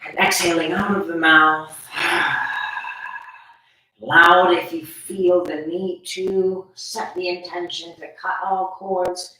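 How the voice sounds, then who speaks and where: Meditation instructor, soothing and unhurried, mid-pitched voice, heard from a distance in a reverberant space. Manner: soothing, gentle, drawn-out phrasing with pauses. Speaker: meditation teacher. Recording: very echoey room, distant from mic.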